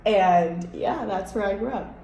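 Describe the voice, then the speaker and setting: A woman's voice: medium pitch, unhurried, mellow. Young woman vlogger, jokey casual chat, echoey room with faint hiss. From born in Spain, raised in Miami. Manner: playful, joking, laughs often. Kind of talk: casual chat while doing makeup.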